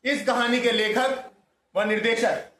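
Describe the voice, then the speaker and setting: A man's voice: extremely high pitch, steady tone, a little slow. A man presenting on behalf of a drama society, addressing a live audience in a formal, emphatic way. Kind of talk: addressing a live audience after a stage play. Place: stage venue, speaking to an audience. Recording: echoey venue, faint noise.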